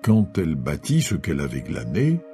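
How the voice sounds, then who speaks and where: A man's voice: extremely low pitch, huge pitch swings, moderate pace, creaky, dark tone, soft background music. A man narrating a dramatised scripture reading as a scripted storyteller.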